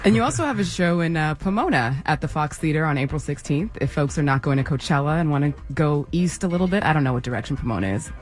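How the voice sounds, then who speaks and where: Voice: medium pitch, animated, fast talker, few pauses. A radio host in a studio, running a casual interview with a chatty, rambling way of asking questions and frequent laughter.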